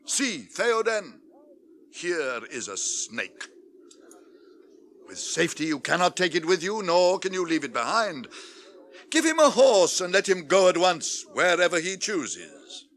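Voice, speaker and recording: A stern old wizard in a radio fantasy. The British voice is fairly high and bright, imperious and declamatory. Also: male voice, very animated, measured pace, exaggerated voice. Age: older. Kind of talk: theatrical fantasy radio play acting.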